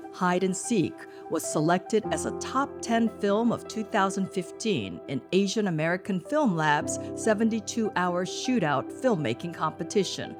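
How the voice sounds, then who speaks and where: A middle-aged woman correspondent narrating a scripted news feature with measured delivery. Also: lowish voice. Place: living room set.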